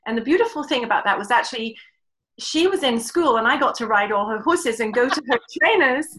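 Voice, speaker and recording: A woman's voice: a little high, expressive, brisk, fairly bright tone, low-quality recording. A middle-aged woman with an English accent, casually telling her own story as an interview guest. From England. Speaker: webinar guest, riding clinician and horse trainer.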